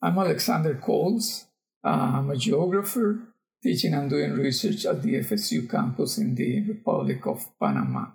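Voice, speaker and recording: An older man with a Costa Rican accent answers interview questions conversationally, pausing and searching for words. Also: a little high, sing-song, vocal fry, darkish tone, poor audio. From Costa Rica. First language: Spanish. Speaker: geography professor, podcast guest.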